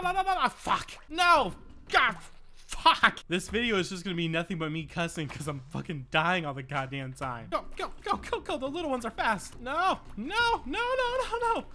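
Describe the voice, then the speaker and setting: High-pitched, excitable man doing game commentary. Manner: foul-mouthed, exclamatory, repeats phrases in bursts. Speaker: gaming video host. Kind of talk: excitable let's-play commentary.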